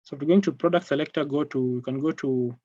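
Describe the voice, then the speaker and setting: A man's voice: a little high, dark tone, dry recording. Kenyan guy running an online tech training, repeats himself a lot, muffled dry audio. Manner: halting, repeats phrases. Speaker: product trainer. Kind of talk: live online technical training. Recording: dull lo-fi online call audio.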